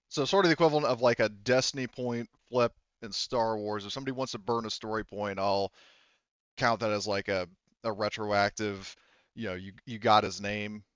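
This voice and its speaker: A man running a tabletop roleplaying game as game master, explaining casually and haltingly, often repeating and rephrasing himself. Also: on the low side, a bit bright, clean tone, no echo, highs cut off.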